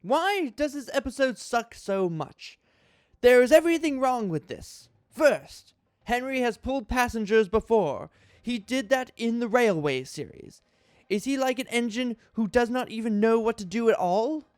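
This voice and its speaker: A man's voice: very high-pitched, animated, a little slow, dry recording, clean studio sound. A man hosting a mock dramatic reading in a theatrical, exaggerated tone of outrage. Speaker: YouTube host reading a list.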